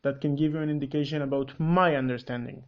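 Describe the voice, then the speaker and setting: A man's voice: medium pitch, fairly even intonation, fast, lo-fi sound. A man whose first language is Hebrew, hosting a commentary video with curt, corrective, matter-of-fact scripted narration. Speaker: commentary host.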